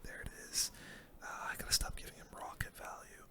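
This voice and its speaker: A gaming host speaking in a soft, unvoiced whisper, giving casual, hushed play-by-play in an ASMR style. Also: fairly quick. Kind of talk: whispered ASMR game commentary.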